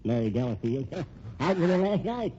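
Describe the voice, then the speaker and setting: An actor playing a vain elderly millionaire smitten with young women, with a folksy, boastful drawl in old-time radio drama style. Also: male voice, mid-range voice, sing-song, normal speed, dark tone, natural voice. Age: older. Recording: muffled old radio recording, faint noise.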